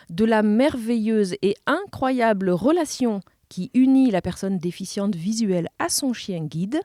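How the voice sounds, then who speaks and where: A woman's voice: fairly high pitch, warm tone, no echo, clean studio sound. A woman hosting a podcast, presenting and interviewing in an articulate, careful way.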